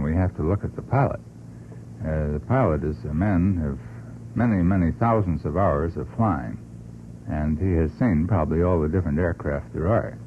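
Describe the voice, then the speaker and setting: A man answering as an interview guest, explaining his reasoning in an unscripted, methodical and emphatic way. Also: deep voice. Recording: tinny, noisy vintage radio recording.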